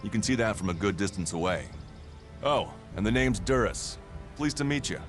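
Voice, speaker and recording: An actor playing a friendly, watchful warrior guide in a video game, advising in a plain-spoken way. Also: male voice, fairly low pitch, expressive, moderate pace, music in the background, close to an everyday voice. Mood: brisk, affable.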